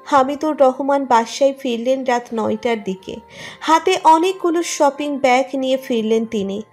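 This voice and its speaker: A woman's voice: high-pitched, normal speed, darkish tone. A woman reading a scripted romantic story as its narrator. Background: music under the narration.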